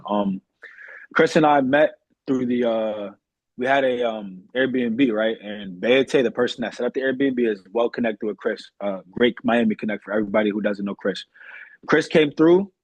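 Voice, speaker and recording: Young male guest, husky mid-range voice, earnest and polite, casual interview delivery, dull close-up recording. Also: mid-range voice, a little mellow, no echo. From Massachusetts, United States. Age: young adult.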